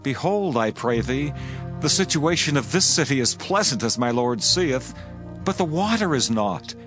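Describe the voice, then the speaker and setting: An actor playing a respectful, troubled male townsman in a dramatized scripture, speaking humbly and in a measured way. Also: mid-range voice, expressive, music in the background, natural voice. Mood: humble, troubled.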